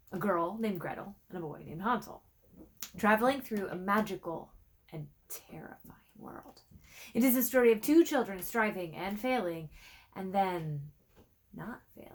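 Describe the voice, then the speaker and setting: A woman reading a storybook aloud in an expressive, dramatic way, letting her phrases drop into a hush. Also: mid-range voice. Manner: dramatic, with hushed phrase endings. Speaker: storybook reader. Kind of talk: expressive read-aloud narration.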